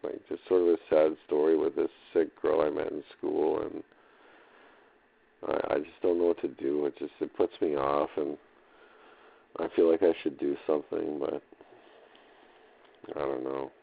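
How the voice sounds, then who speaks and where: Male diarist, monotone and full of vocal fry, drifting through halting, casual unscripted thoughts on a muffled lo-fi recorder. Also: a bass voice. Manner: hesitant, repetitive, filler-heavy, loosely drifting. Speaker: audio diarist. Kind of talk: rambling unscripted audio diary.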